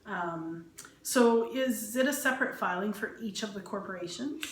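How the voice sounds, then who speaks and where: A woman's voice: mid-range voice, normal speed. A woman in a conversational business interview, asking questions and attentively following along with short affirmations. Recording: echoey room, faint background noise.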